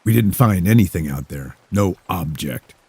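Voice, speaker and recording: Man as weary skeptical detective, blunt questions, low husky voice, dry sound. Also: expressive, moderate pace, a little mellow, no echo, full character voice. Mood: questioning. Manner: blunt, matter-of-fact questioning. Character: tired, skeptical male police detective.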